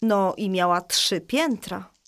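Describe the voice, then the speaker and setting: A woman playing a patient mother who explains a Bible story to children in a children's audio drama, reassuring and clear. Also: medium pitch, slow, a bit bright, no echo, clean studio sound. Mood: patient, explaining.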